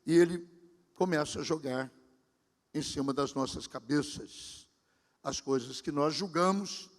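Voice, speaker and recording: A pastor in his sixties giving a sermon, talking conversationally and speaking directly to his congregation. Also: a little high. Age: 60s. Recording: long hall reverb.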